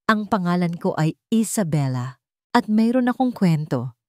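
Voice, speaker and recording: Wounded, determined Filipino female lead, acted by a woman narrator, soft yet steady, story drama. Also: on the low side, moderate pace, no room sound, studio quality. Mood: brave, vulnerable. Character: wounded, determined young woman, fragile but resolute.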